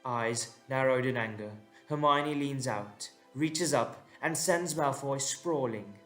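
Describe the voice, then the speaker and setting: A man's voice: mid-range voice, slow, a bit bright. A man narrating a scripted audio drama in a dramatic storytelling style. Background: instrumental music.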